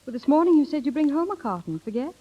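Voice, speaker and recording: Young woman, affectionate and halting, high voice, vintage radio drama style, muffled sound. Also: sing-song. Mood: earnest, exasperated. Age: young adult. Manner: hesitant, breaks off and repeats words. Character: earnest, affectionate young woman, sweetheart turned budget-minded wife. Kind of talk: 1930s radio acting. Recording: dull 1930s radio broadcast recording.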